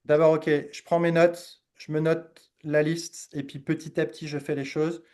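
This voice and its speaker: Higher-voiced man coaching a group. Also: flat delivery, normal speed, a little mellow. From Ivory Coast. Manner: encouraging, emphatic repetition. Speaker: workshop speaker. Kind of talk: informal coaching talk to a group.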